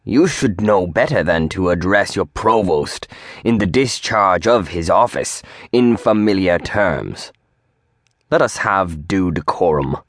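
A man's voice: low voice, expressive, a little slow, dry recording, studio quality. A man voicing a stern, pompous male demon provost with a grating, officious voice.

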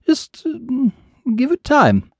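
Guy putting on a sweet nosy old ghost lady witch, gravelly and high, swoops all over the place, slow and drawn out, dry close sound. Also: wildly swooping pitch, no echo, full character voice. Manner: fluttery, motherly, teasing, calls others dearie.

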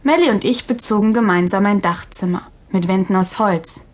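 A woman's voice: mid-range voice, normal speed, close to an everyday voice. A woman playing a breezy, bossy young girl in a children's audio drama, talking in a colloquial way. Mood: chatty. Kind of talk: German children's audio drama acting and narration.

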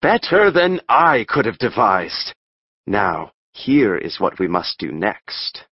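A man playing a commanding, scheming fairy king in a Shakespeare audiobook, measured and authoritative. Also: on the low side, sing-song, slightly rough, muffled recording, heavily acted. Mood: pleased, plotting. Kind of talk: theatrical audiobook Shakespeare acting.

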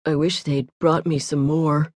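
A woman voicing a gluttonous man who is never full, talking low and gruff. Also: level tone, unhurried, darkish tone, no room sound, clean studio sound. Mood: complaining. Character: huge gluttonous man, always hungry.

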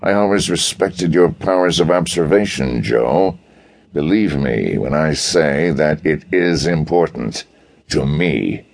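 Man playing a deep, suave, wry gentleman adventurer. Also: lively intonation, creaky, heavily acted. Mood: sincere. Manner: unhurried, wry, bantering. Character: suave, lazily amused wealthy male gentleman adventurer.

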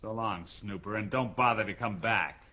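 A man's voice: lowish voice, moderate pace, dull audio, lightly acted. An actor playing an irritable, evasive playboy in a hardboiled radio drama, impatient and dismissive in his talk. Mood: irritated, dismissive.

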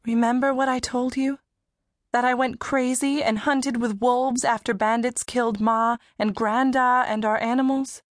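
A woman's voice: on the high side, level tone, moderate pace, dry recording, studio quality, lightly acted. A female performer acting an earnest, anxious fourteen-year-old country girl in an audiobook, plain-spoken and worried.

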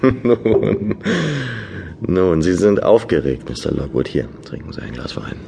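A gruff, reclusive landlord. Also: male voice, deep voice, big pitch swings, unhurried, exaggerated voice. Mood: mocking. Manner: curt, clipped sentences, sudden hushed menace. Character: gruff reclusive male landlord, cold and mocking. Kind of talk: radio drama dialogue. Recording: noisy room ambience.

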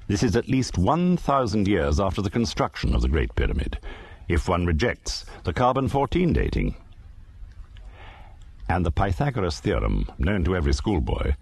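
A man narrating a dramatised documentary in a grave, theatrical and deliberate manner. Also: deep voice, creaky, darkish tone, breathy edge, dry recording.

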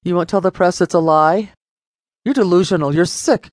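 A woman's voice: on the low side, normal speed, darkish tone, no room sound, close to an everyday voice. A woman voicing an angry wealthy father, raised and blustering with threats. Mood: furious.

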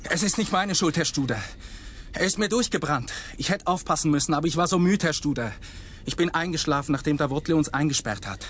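A man's voice: a little high, lively intonation, fast, fairly bright tone. An actor playing an anxious, guilt-ridden young man in a radio drama, explaining himself earnestly. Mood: guilty, pleading. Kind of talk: German radio drama acting.

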